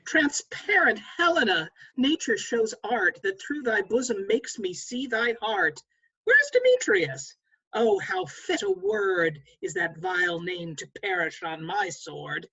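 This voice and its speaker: A woman's voice: a little high, very animated, slow pace, sharp tone, breathy edge, highs cut off, exaggerated voice. A woman playing an ardent, fickle young nobleman in a verse play read over a video call, impassioned and declamatory. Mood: ardent, fierce. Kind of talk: stage verse read aloud at a table read.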